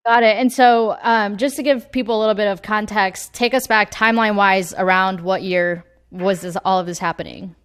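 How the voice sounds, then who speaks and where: A woman's voice: mid-range voice, steady tone, normal speed, few pauses, a bit bright, clear, resonant tone. A woman hosting a podcast, asking friendly, prompting questions. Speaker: podcast host. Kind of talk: interview questions.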